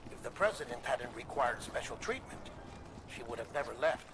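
A man's voice: mid-range voice, lively intonation, brisk, close to an everyday voice. An actor playing a composed, earnest government official in a video game, persuasive and solemn in his delivery. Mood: somber. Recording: clear background noise and ambience.

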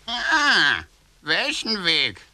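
A man's voice: sits high, wildly swooping pitch, extremely slow, creaky, bright, forceful tone, dry recording, full character voice. A man playing a theatrical know-it-all crow in a children's audio play. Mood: self-important.

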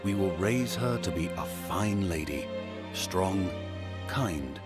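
An actor playing a noble, loving lord and father in a video game, speaking in a gentle, solemn, stately manner. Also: deep voice, lively intonation, slow, over loud music. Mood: solemn, proud.

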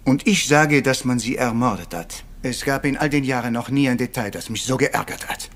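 An actor playing a proud, brooding middle-aged Belgian detective in a retro radio play, formal and courteous, with French phrases mixed in. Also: male voice, mid-range voice, normal speed, a bit bright, lightly acted.

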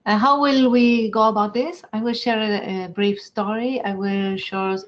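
A woman from Argentina whose first language is German, with a German accent, presenting an online lecture in an earnest, measured way with frequent fillers. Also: mid-range voice, fairly even intonation, dull audio. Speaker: webinar presenter.

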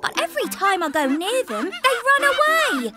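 A woman voicing a friendly, flustered young female steam engine in a children's cartoon, expressive and exclamatory. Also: very high-pitched, moderate pace, heavily acted.

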